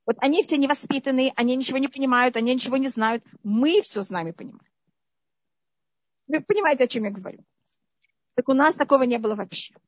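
A high-pitched woman from the Soviet Union talks through her memories, often checking that her listeners follow. The sound is dry and dull, as if taken from a video call. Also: fast, pauses often, no room sound. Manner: lively, keeps asking the listener "you understand?". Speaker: interview guest. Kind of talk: conversational storytelling.

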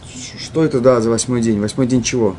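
A man's voice: mid-range voice, brisk, warm tone, slight background noise. A man taking part in an informal class discussion with brief comments, picked up at a distance from the microphone. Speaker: participant in Talmud class.

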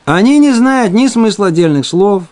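Guy teaching religion, voice a bit on the high side, explains step by step, asks questions then answers them himself. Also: expressive, normal speed, a little mellow.

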